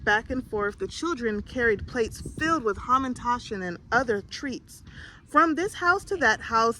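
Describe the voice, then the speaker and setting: A woman reading a children's picture book aloud in an expressive storytelling style. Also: fairly high pitch, a little hiss or hum, rough recording. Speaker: storybook reader.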